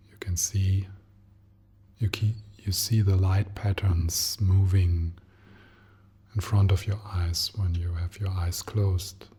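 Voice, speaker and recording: A man guides a meditation in a low, breathy voice. His tone is dark and soft, with a husky grain. He speaks in short, gentle phrases that stay nearly level, leaving long pauses between them. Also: little pitch movement. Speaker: meditation teacher.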